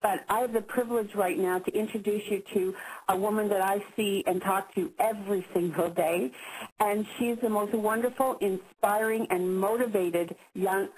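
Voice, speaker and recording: A woman's voice: mid-range voice, a little mellow, slight background noise, rough recording. A woman speaking as guest speaker on a business call, effusive and full of praise. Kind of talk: warm introduction speech.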